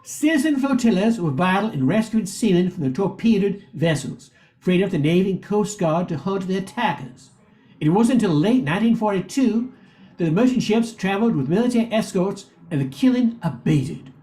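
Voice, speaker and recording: A man narrates a radio story. His voice is high for a man and moves around a lot. The reading is scripted. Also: lively intonation, moderate pace, mellow, poor audio. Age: older.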